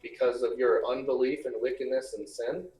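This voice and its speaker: A man's voice: medium pitch, fairly even intonation, moderate pace, a little mellow, soft background music. Roomy-sounding man, earnest and preachy. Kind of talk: casual religious debate. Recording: roomy, echoing voice.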